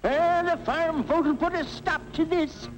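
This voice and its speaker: A man's voice: extremely high pitch, a little slow, rough recording. A man playing an indignant, blustering citizen in a 1950s cartoon. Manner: blustering, defiant. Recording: old film soundtrack, loud music.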